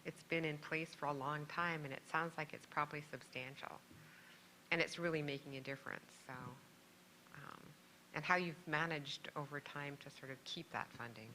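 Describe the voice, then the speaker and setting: A woman's voice: deep voice, fairly even intonation, moderate pace, noisy background. A woman on a panel politely asking a question about program funding.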